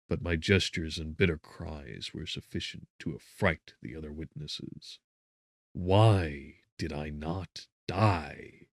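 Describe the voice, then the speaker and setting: A man reading a gothic audiobook in a solemn, measured, dramatic way, with brooding pauses. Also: extremely low pitch, vocal fry, fairly bright tone, no echo, clean studio sound. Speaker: audiobook narrator. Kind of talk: dramatic scripted narration of gothic literature.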